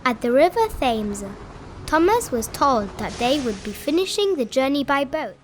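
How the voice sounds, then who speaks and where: A woman reading a children's storybook aloud in an expressive, deliberate storytelling style, as a read-aloud host. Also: high-pitched, a little hiss or hum. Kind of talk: animated children's storybook narration.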